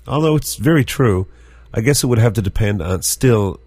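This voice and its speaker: A man's voice: fairly low pitch, animated, moderate pace, darkish tone, husky, no echo. A man hosting a podcast, rambling through jokey musings in a casual radio style. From Newfoundland. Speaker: podcast host.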